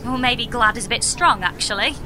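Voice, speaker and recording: A woman's voice: fairly high pitch, fairly quick, background noise, natural voice. A woman playing an exasperated, sardonic space traveller in a sci-fi audio comedy, incredulous and dry in manner. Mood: exasperated incredulity.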